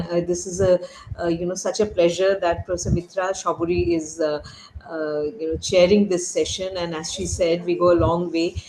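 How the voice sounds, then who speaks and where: A woman's voice: lowish voice, darkish tone, echoey room, slight background noise, low-quality recording. A woman, a retired diplomat, presenting a seminar paper over a video call, hesitant with many fillers and restarts. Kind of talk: academic talk on a video call.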